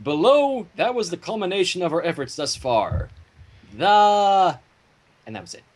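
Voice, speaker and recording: A man reading a story theatrically on a livestream, breaking off into casual banter, with a husky voice. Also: sits high, very animated, unhurried. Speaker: fan fiction reader and livestream host.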